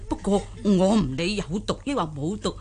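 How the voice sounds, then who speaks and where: An actress playing a naive, jolly old country granny in a radio drama, with folksy, exclamatory delivery. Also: female voice, medium pitch, animated, takes it slowly. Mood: jolly, frank.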